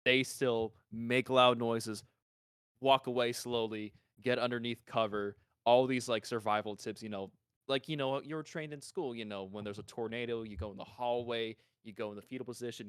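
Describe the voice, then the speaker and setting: A man co-hosting a podcast, talking casually and informally in an animated way. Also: mid-range voice, clean tone, no room sound, clean studio sound. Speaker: podcast co-host.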